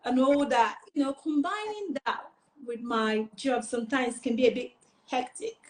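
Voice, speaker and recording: A woman's voice: high-pitched, normal speed, roomy sound, low-quality recording. A woman from eastern Nigeria, a pageant finalist, answering interview questions in an earnest, rambling way with many fillers.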